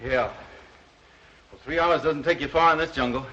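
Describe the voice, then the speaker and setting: Radio adventure hero, higher-pitched male voice, brisk and commanding, practical survivor, muffled hissy vintage recording. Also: fairly even intonation, bright, forceful tone. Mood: urging, determined. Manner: brisk, urging, confident. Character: resolute male castaway hunter, protective and practical. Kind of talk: 1940s radio drama acting. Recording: muffled, noisy old-time radio recording.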